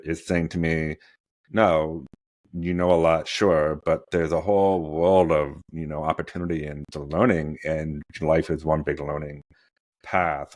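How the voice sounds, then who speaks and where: Podcast host, forties, man, booming low voice, dry sound. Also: fairly even intonation, a little slow, no echo. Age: 40s. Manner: rambling, many fillers and restarts. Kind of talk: interview conversation.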